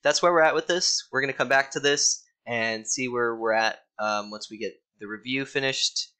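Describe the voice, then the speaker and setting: A man's voice: mid-range voice, normal speed, bright, forceful tone, smooth. A young man casually walking through an ads account on a screen recording, with lots of ums and a mildly exasperated tone.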